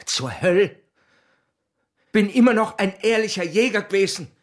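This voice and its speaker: An actor playing a proud, tormented border guard in a radio drama, husky, agitated and threatening, with an Austrian accent. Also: male voice, high voice, expressive, moderate pace, soft background music. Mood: furious.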